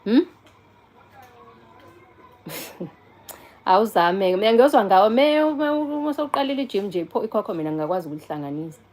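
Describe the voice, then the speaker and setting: A woman's voice: medium pitch, lively intonation, fairly quick, pauses often, a little mellow. A South African woman in her forties chatting casually on a live stream, answering viewers and taking a call in between. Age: 40s. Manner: chatty, answers viewers and phone calls, switches between English and Zulu.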